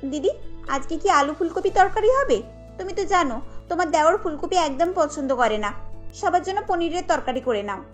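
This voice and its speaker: A discontented younger sister-in-law in a family cartoon, played by a woman. Her high voice is plaintive, wheedling and a little resentful, with faint music underneath. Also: lively intonation, moderate pace.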